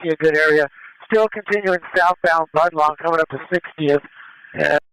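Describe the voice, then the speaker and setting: A man calling a police pursuit over the radio, directing units in a measured, matter-of-fact way. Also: on the high side, fairly bright tone, clean tone, no echo, rough recording. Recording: live police scanner radio transmission.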